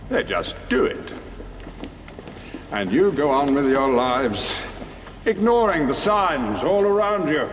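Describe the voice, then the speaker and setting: An actor playing a grave, fervent rebel leader giving an ominous rallying speech in a reverberant space. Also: male voice, fairly high pitch, sing-song, slow, pauses often, a little hiss or hum. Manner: ominous oratory. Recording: long hall reverb, music behind.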